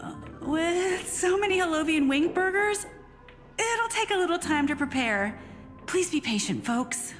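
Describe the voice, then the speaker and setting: An actress playing an obliging food shop server in a video game, with polite customer-service manners. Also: female voice, very high voice, animated, measured pace, a bit bright, heavily acted. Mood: apologetic. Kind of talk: scripted video game dialogue. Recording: reverberant game audio with music.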